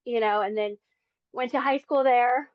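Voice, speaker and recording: A woman's voice: a little high, steady tone, moderate pace, no room sound, highs cut off. A woman in her forties from the Philippines, sharing her faith testimony as a podcast guest in earnest, chatty talk. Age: 40s. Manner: earnest, chatty, many fillers. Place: home in Las Vegas.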